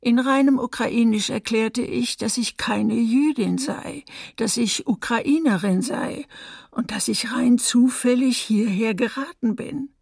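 A grave woman survivor gives measured testimony for a radio documentary drama. Her fairly high voice is husky and creaky, recorded very dry. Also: darkish tone, dry recording, exaggerated voice. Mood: grave, restrained.

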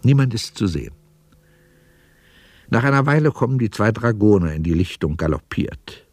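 A man's voice: on the low side, animated, moderate pace, a little mellow, dry recording, clean studio sound. A man reading scripted scene narration for a radio drama as its narrator.

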